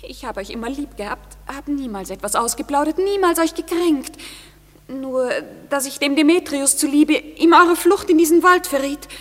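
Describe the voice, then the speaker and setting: A plaintive young woman in an old radio play. Her voice is high, and it rings in a reverberant hall. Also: moderate pace, fairly bright tone. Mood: pleading. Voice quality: plaintive, wounded. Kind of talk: classic radio play acting.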